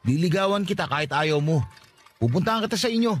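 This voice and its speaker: A man's voice: fairly high pitch, lively intonation, fast, noisy background, lightly acted. An actor playing a lovestruck, persistent young working-class man, pleading insistently in a radio drama. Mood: pleading, lovesick. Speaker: voice actor in a radio-style love story. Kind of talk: Filipino radio drama acting.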